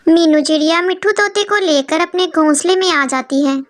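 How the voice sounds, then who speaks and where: A woman's voice: very high-pitched, moderate pace. A woman narrating a scripted children's story.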